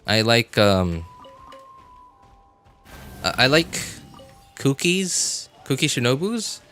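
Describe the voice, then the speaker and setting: A man chatting casually as he streams a game, rambling and halting as he hunts for words in a self-deprecating way. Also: mid-range voice, sing-song, fairly bright tone, faint music behind. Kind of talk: casual livestream chat while gaming.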